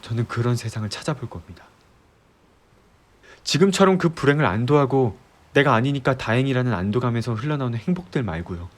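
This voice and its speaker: A man playing an earnest, disillusioned young fiancé in an audio drama, delivering a heartfelt, pleading monologue with deliberate pauses. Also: mid-range voice, animated, natural voice. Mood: impassioned, resolute. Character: earnest, disillusioned young male fiance, resolved to leave utopia. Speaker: audio drama creator and voice actor. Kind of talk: Korean ASMR audio drama roleplay. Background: background music.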